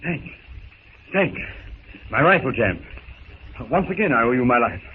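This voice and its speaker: A diffident English gentleman in a vintage radio serial. His speech is courteous and clipped, at a middling pitch. The recording is thin and noisy. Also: very animated, a little slow. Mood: grateful, awkward. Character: polite, understated English gentleman hunter. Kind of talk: 1930s radio serial acting. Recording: scratchy, tinny 1930s radio recording.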